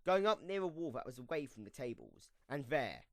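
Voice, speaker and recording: Animated male story narrator, dry recording. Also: on the high side, brisk, dry recording.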